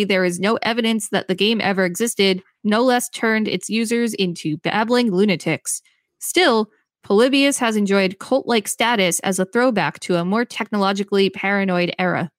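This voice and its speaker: A woman's voice: medium pitch, moderate pace, no echo, studio quality. A woman reading an encyclopedia article aloud as a podcast co-host, in scripted narration with brief casual remarks. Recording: faint music behind the voice. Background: faint music.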